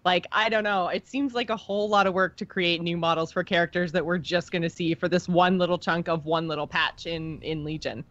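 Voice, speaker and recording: A woman's voice: on the low side, steady tone, fairly quick, hardly any pauses, fairly bright tone, lo-fi sound. A woman co-hosting a podcast in casual chat, talking enthusiastically with plenty of slang. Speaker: podcast co-host. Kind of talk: casual chat about game lore.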